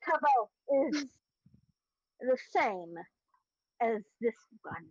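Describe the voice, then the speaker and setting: A woman role-playing in a tabletop game, speaking in a halting, deliberate way as she voices and narrates her character. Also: a little high, expressive, a little mellow, breathy edge, no room sound. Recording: dull lo-fi dry voice recording.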